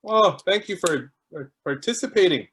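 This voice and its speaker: A man's voice: sits high, expressive, normal speed, over loud music. A man hosting an online video call, chatting casually and greeting people one by one while he jokes about stalling. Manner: chatty, joking, warm greetings to each arrival. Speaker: host.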